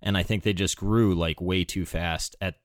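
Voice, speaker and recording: A man's voice: low voice, steady tone, moderate pace, no room sound, clean studio sound. An American man hosting a podcast, chatting informally and candidly. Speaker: podcast host. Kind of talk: casual chat.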